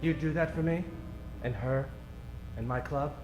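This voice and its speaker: An actor playing an effeminate, flirtatious, lovelorn club owner in a radio detective parody, soft-spoken and hesitant. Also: male voice, on the high side, unhurried, over music, lightly acted. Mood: coy, tender. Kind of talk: parody of old-time radio detective drama. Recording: roomy, distant live stage recording.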